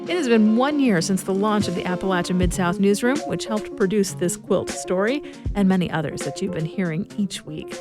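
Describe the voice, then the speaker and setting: A woman's voice: mid-range voice, animated, brisk, over music. A woman reading scripted news as the host of a podcast.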